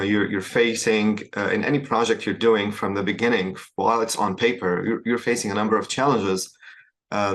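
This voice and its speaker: Man, lowish voice, measured, pauses and restarts, guest on an interview. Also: fairly bright tone. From Syria. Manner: measured, with frequent hesitations and restarts. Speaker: startup founder, guest. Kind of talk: casual interview answers.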